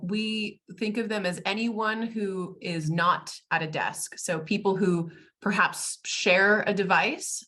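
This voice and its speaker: An American woman, a guest expert in a webinar interview, talking fluently in a polished and warm way. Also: on the low side. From United States. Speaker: guest, tech company expert.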